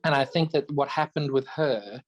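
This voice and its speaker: A man's voice: medium pitch, moderate pace, dull audio. A man from Zimbabwe co-hosting a podcast, chatting in an effusive, storytelling way. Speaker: podcast co-host. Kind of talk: casual chat.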